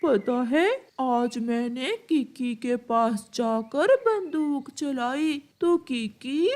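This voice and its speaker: A woman's voice: high-pitched, expressive, extremely slow, a little mellow, exaggerated voice. A woman voicing a cocky boy crow in a cartoon, a taunting little show-off and bully. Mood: gleeful bragging.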